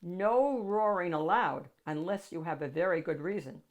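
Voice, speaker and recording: A woman's voice: on the low side, moderate pace, lightly acted. A woman voicing a fussy, officious man who works at a library desk, in a storybook reading. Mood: gently hinting.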